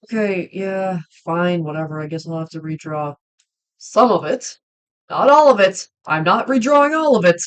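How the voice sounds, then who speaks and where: A woman's voice: on the low side, normal speed, clean tone. A young woman chatting casually on a livestream while she draws, rambling and hesitant, with many ums and restarts. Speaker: livestreamer and hobby voice actor.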